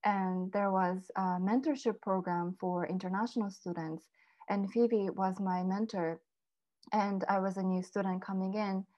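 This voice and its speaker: A young woman introducing herself casually, speaking softly with frequent pauses and ums. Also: mid-range voice, fairly even intonation. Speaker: podcast co-host, guest. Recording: dull lo-fi sound.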